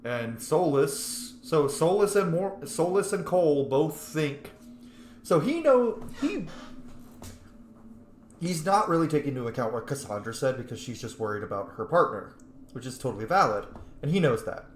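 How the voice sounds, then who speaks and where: A man's voice: a little high, very animated, normal speed. A man playing a video game and commenting on it casually, chatty and opinionated. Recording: echoey room, faint background noise.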